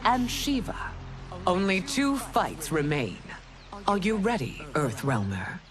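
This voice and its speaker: A woman's voice: lowish voice, very animated, slow pace, over music. An actress playing a stern, regal empress and scolding mother in a dramatic video game cutscene, speaking formally and imperiously. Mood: formal, ceremonial.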